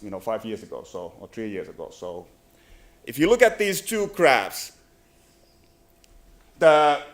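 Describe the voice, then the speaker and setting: A man from Finland in his thirties with a Finnish accent, giving a business presentation at a conference, halting with many fillers and restarts. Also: medium pitch. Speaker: company presenter. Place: conference venue.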